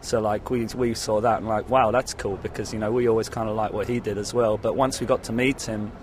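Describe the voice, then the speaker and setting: A man's voice: lowish voice, steady tone, brisk, a little hiss or hum. A man giving a casual interview as a musician, rambling and hesitant, often saying "you know". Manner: rambling, hesitant, peppered with 'you know'.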